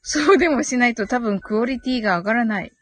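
A woman's voice: a little high, fast talker. A woman hosting a casual live audio chat, reading listener comments aloud and responding with polite, agreeable backchannels. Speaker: live audio stream host. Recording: background music behind voice. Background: music.

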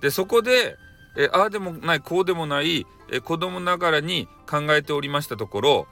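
A man's voice: fairly high pitch, animated, brisk, vocal fry, bright, forceful tone, soft background music. A man narrating a bedtime folktale in Hakata dialect, rambling with fillers and playful asides. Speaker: storyteller.

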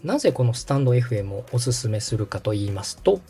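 A man's voice: mid-range voice, big pitch swings, quick pace, dark tone, breathy edge, soft background music. A man hosting a step-by-step tutorial, explaining things politely and guiding the listener along. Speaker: podcast host and tutorial instructor, radio director.

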